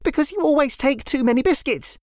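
A woman voicing a young girl who thinks fast and talks excitedly. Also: sits high, dry recording, clean studio sound, pitched above the performer's own voice. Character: quick-thinking little girl.

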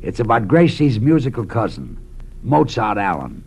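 A man with a low, lively voice tells a dry, wry anecdote about his early career. He then calmly introduces an old routine. A faint hiss runs underneath. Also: normal speed. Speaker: comedian recalling his career.

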